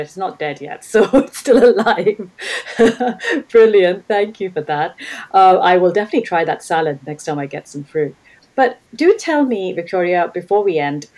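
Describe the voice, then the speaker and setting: Low-voiced woman podcast host, laughing often. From grew up hearing Urdu. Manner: warm, gushing, laughs often. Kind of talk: conversational interview.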